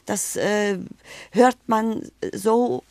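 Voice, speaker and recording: Woman, Romanian-German accent, rolled R, gravelly, hesitant, dry close sound. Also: mid-range voice, steady tone, extremely slow, darkish tone, dry recording. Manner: hesitant, many "äh" fillers, thoughtful. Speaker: interview guest, writer. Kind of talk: reflective interview answers.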